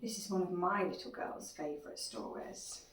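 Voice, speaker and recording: A woman reading a children's storybook aloud in an expressive style. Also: lowish voice, normal speed, a little hiss or hum. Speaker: story reader. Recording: echoey room, distant microphone.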